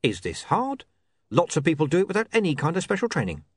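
A man voicing a smooth, calmly menacing male criminal in a storybook reading. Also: on the high side, fast talker, voice pushed up, exaggerated voice. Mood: coaxing. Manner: calm reasoning menace.

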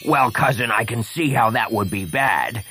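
A man's voice: medium pitch, lively intonation, moderate pace, bright, forceful tone, a little hiss or hum. A man voicing a plaintive, easily flustered male alien lord in an audiobook. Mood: plaintive, worried. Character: plaintive, slow-witted young male Hutt lord, alien underling.

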